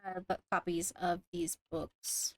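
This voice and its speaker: A woman's voice: fairly low pitch, flat delivery, slow pace, a little mellow, no echo. A woman playing a reserved, polite woman at a fantasy court in a casual Minecraft roleplay, giving brief, subdued replies. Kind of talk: casual improvised roleplay dialogue.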